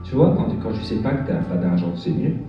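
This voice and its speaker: A young man from France performing a stand-up set on a bar stage, talking in a halting way with lots of fillers and restarts. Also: on the low side, expressive. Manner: hesitant, halting delivery with many fillers and restarts. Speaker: stand-up comedian. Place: bar stage with live audience. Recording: echoey, dull room sound from far off, music behind.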